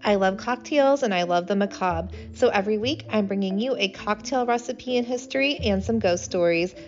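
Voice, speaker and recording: Chatty woman host with a raspy sinus-sick voice. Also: mid-range voice, moderate pace. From American Midwest. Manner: chatty, animated, laughs easily. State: hoarse from a sinus infection. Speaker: podcast host. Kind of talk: casual chat. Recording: slightly dull lo-fi recording.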